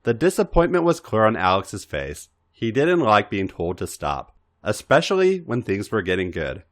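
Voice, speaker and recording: Theatrical male narrator, very animated delivery. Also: fairly low pitch, moderate pace. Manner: theatrical, acts out the dialogue. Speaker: podcast reader. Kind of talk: dramatic narration of adult fan fiction.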